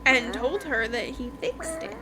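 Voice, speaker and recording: A woman's voice: high voice, animated, measured pace. A woman host recapping a book in a casual, rambling, informal chat. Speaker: podcast host reviewing romance books. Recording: noticeable background noise.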